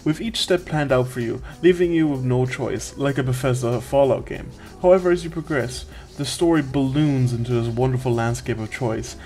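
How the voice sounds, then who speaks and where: Male reviewer, mid-pitched, tongue-in-cheek scripted narration, music underneath. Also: a little mellow. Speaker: video game reviewer.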